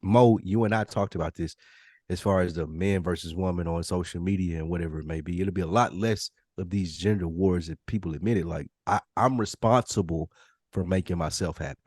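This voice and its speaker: A man's voice: sits low, fairly quick, darkish tone, no echo, studio quality. A man co-hosting a podcast, talking casually in an earnest, emphatic way and repeating his points. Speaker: podcast co-host. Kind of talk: casual panel discussion.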